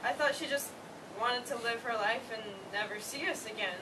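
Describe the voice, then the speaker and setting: A woman acting guilt-ridden grown daughter, play reading, high voice, far from mic, noise behind. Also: moderate pace, natural voice. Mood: shocked, guilty. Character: guilt-ridden eldest daughter, emotional, questioning. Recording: distant mic, noisy room.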